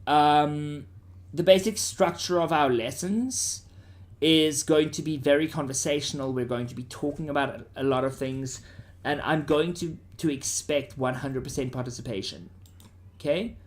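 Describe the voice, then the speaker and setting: A man's voice: a little high, moderate pace. A man with a South African accent teaching students in an informal, conversational way, often checking that they follow. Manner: informal, checks listeners' understanding.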